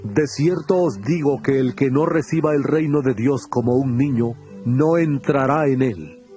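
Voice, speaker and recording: An actor playing Jesus as a calm, earnest teacher, speaking in a solemn, measured tone in a dramatized scripture recording. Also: male voice, medium pitch, soft background music, lightly acted.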